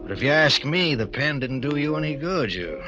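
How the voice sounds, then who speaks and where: An actor playing a falsely friendly American mob boss in a 1940s radio crime drama, coaxing and needling in gangster slang. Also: male voice, a little high, sing-song, moderate pace, dull audio. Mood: patronizing. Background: instrumental music.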